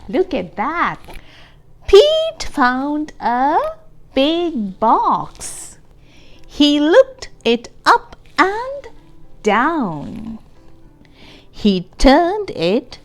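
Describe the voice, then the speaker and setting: Female children's storybook reader, very slow and deliberate, hugely varied intonation, music underneath. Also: sits high. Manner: expressive, deliberate, emphasising key words. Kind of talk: read-aloud storytime for young children, with explanatory asides and questions.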